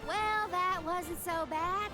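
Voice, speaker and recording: A woman voicing a sassy, easygoing young adventurer in a fantasy video game, with a casual, wry, expressive delivery. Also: extremely high pitch, slow. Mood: wry, grudging. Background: game music.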